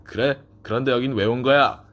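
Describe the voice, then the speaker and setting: Storybook pig, voiced by a man: gruff, suspicious, loud, mid-pitched, quick to accuse. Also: fairly even intonation, close to an everyday voice. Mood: accusing. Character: grumpy, suspicious, prejudiced male pig.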